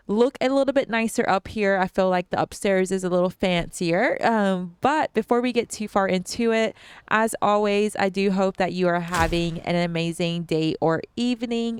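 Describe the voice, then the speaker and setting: A young woman talks through a video game for her viewers at an unhurried pace, calling them guys and filling gaps with ums. Her voice comes across very clear and clean, though her nose sounds slightly stuffy. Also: mid-range voice, no room sound. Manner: chatty, addresses viewers as guys, frequent ums and restarts. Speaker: gaming channel host. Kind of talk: casual let's-play commentary.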